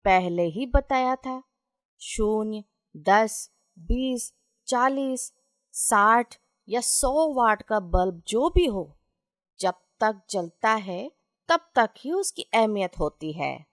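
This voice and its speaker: A woman storyteller voicing a wise, humble old retired gentleman who gives measured, philosophical counsel. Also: on the high side, expressive, dry recording. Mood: philosophical, instructive.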